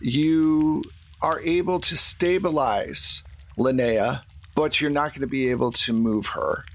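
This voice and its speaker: A man with a mid-pitched voice runs a tabletop game as its game master. He narrates casually and takes his time over the words. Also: slow.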